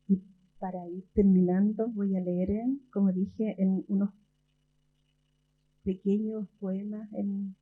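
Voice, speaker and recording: A woman poet from Chile, talking about her father and then reading her poems in a slow, measured way with long pauses, at a library event. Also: medium pitch, phone-quality sound, a little hiss or hum. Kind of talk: slow poetry reading and talk.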